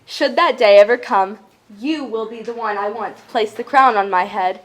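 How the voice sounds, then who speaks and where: A woman playing a gracious young princess, devoted and gently teasing, in a staged reading of a stage musical. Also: on the high side, moderate pace, close to an everyday voice. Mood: fond, playful.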